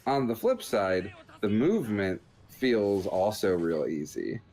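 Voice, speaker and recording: A man's voice: lowish voice, sing-song, slow pace, a little mellow. A man hosting a video game show, giving casual, rambling commentary in a joking, understated way.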